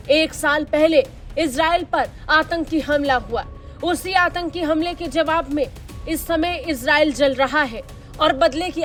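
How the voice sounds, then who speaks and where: A woman's voice: sits high, a bit bright. A woman reading a scripted news report as a voice-over in a formal, dramatic delivery. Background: music under the voice.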